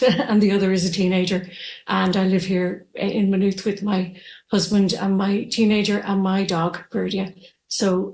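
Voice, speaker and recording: Irish female musician, 40s, easygoing with lots of fillers, roomy remote call sound. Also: medium pitch. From Ireland. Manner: relaxed, laughing lightly, with many fillers. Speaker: musician guest. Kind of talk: remote interview. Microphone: video call. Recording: echoey room over video call.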